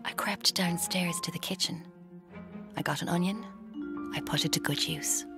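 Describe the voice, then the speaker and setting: A woman's voice: fairly low pitch, normal speed, music in the background, lightly acted. An actress playing a restless would-be writer in her twenties with an Irish accent, confiding drily in a radio drama. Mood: secretive. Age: 20s. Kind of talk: radio drama, first-person confiding narration and dialogue.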